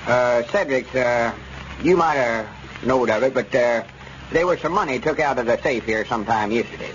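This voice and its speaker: An actor playing a stubborn, suspicious old rural justice of the peace in a radio comedy, with a folksy Southern accent. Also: male voice, medium pitch, normal speed, close to an everyday voice. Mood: hesitant, folksy. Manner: folksy, rustic, ungrammatical speech. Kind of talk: 1930s radio comedy acting. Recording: muffled, noisy old radio broadcast.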